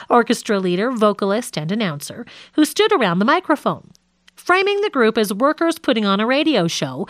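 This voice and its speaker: A woman narrates a nonfiction audiobook in a fairly high voice with very animated intonation. Her reading is measured and articulate, and the sound is dry and close, with little room echo.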